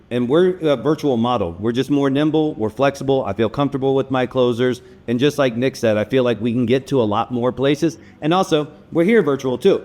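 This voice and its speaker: A man's voice: medium pitch, darkish tone. Upbeat male podcast host. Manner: enthusiastic, complimentary, loose phrasing. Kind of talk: casual podcast conversation.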